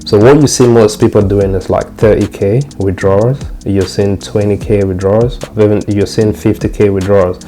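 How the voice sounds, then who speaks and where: A young man from Cameroon with a lowish, mellow voice talks viewers through his trading dashboard in a loose, repetitive way, often circling back over the same phrase. Soft music plays faintly underneath. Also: fairly even intonation, normal speed, few pauses. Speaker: trading channel host. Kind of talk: casual screen walkthrough.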